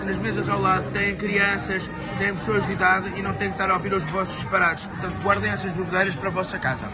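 A man's voice: sits high, low-quality recording. A man in his forties with a European Portuguese accent presenting etiquette tips to camera in a casual, didactic, emphatic way. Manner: didactic, emphatic with repeated words. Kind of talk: casual instructional monologue to camera. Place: open restaurant terrace by a beach. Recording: loud music and busy crowd noise behind.

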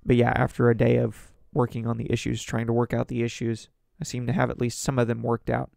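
A young man with a sore, raspy throat hosting a solo news commentary podcast from a small home studio, chatty with wry asides. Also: medium pitch, completely flat delivery, a little fast, a little mellow, no echo. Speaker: podcast host. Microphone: studio mic on boom, headphones.

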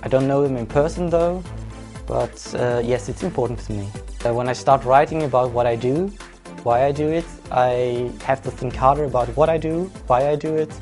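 A man's voice: medium pitch, a little mellow. Swedish-accented man, music underneath. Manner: measured, pausing to recall details. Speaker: tournament player. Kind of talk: interview answers.

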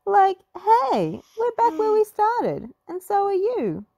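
Woman playing a lazy cartoon young man, high-pitched, cowardly, goofy slacker drawl, animated. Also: slow, exaggerated voice.

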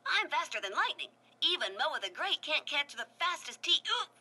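A cocky, speedy male tiki rattles off boasts and taunts, as voiced by a woman. The voice is very high and bright, and it jumps around a lot. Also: animated, breathy edge, exaggerated voice. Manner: fast, taunting.

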